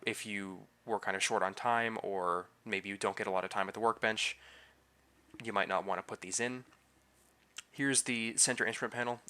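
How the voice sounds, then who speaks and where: A man's voice: on the low side, sharp tone. Slightly nasal man with a head cold. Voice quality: a bit nasal. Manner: loose and chatty, with many fillers and restarts. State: getting over a head cold, slightly congested. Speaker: scale model builder and channel host. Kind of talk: casual voice-over narration of a model build.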